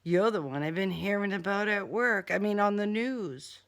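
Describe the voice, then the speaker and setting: A woman's voice: medium pitch, moderate pace, a bit bright, close to an everyday voice. A woman voicing a tough, sarcastic young female cat burglar in an audiobook, slangy and defiant.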